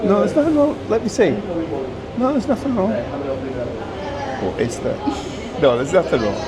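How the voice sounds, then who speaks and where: A man's voice: a little high, a little slow, a loud crowd. A man hosting a restaurant review vlog, chatting casually and teasing his friends at the table. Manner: teasing, joking banter. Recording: loud street noise behind.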